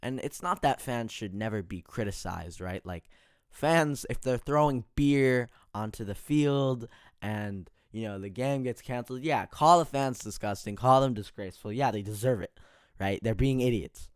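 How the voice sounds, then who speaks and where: A man hosting a casual sports podcast, his voice raspy and hoarse, full of fillers and getting louder as he rants. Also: mid-range voice, moderate pace, dry recording, clean studio sound. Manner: filler-heavy, gets heated and louder when ranting. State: hoarse, tired voice.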